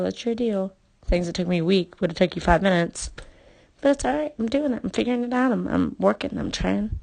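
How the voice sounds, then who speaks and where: Husky, creaky-voiced woman recounting memories. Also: mid-range voice, a little mellow. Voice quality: husky, creaky, often dropping to a breathy near-whisper. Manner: halting, reflective, confessional. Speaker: personal storyteller addressing a former partner. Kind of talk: intimate spoken memoir, unscripted.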